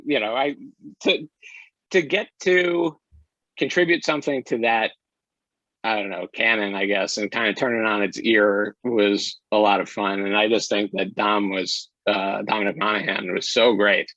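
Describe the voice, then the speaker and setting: A man's voice: fairly low pitch, steady tone, normal speed, a bit bright, no echo. A man speaking as a podcast guest, a director chatting casually in an interview over slightly dull audio.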